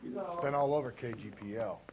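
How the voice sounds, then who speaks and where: An actor playing a blunt, cynical veteran homicide detective in a video game. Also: male voice, medium pitch, expressive, normal speed, background noise, lightly acted. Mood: gruff. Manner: blunt, scornful. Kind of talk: video game dialogue acting.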